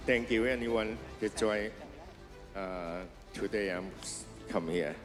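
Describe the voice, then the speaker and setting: A man's voice: on the low side, slow pace, big-room sound, over music. Hesitant man. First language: Cantonese. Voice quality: hesitant, many fillers. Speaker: award recipient. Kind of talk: halting acceptance speech.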